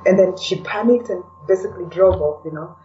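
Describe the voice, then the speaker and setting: A woman with a dark, mellow tone chats casually as a podcast guest. She sounds a little away from the microphone, in a dull lo-fi recording, and often tags her phrases with "you know". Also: lowish voice, fairly quick, recorded from a distance, slight background noise. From Kenya. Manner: chatty, peppers talk with "you know". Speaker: interview guest. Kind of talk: casual conversational interview.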